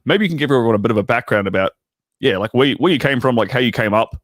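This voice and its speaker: A man's voice: medium pitch, expressive, rapid-fire, no echo, clean studio sound. A man hosting a podcast interview, upbeat and chatty, asking eager questions. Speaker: podcast host. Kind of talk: casual interview chat.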